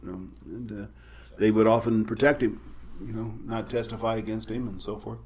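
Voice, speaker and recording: Low-voiced man explaining history calmly. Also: husky. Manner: measured, explanatory, storytelling. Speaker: guest professor. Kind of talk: casual trivia chat.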